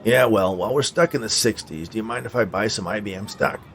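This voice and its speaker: A man's voice: fairly low pitch, fast, fairly bright tone, a little hiss or hum. A man voicing a grumpy, jaded man with a dry, impatient manner in a story. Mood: sarcastic. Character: Harrison, grumpy, jaded, sarcastic grown man.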